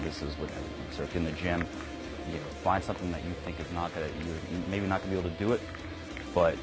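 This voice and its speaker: A young man from Kazakhstan, a Marine sergeant, talking earnestly and conversationally in an interview, with lots of fillers and restarts. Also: deep voice. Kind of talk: recruiting interview. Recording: music bed under the voice.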